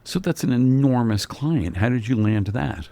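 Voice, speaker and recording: A man's voice: mid-range voice, animated, moderate pace, gravelly, dark tone. A man hosting a casual interview, asking curious questions and answering with short affirmations. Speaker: host.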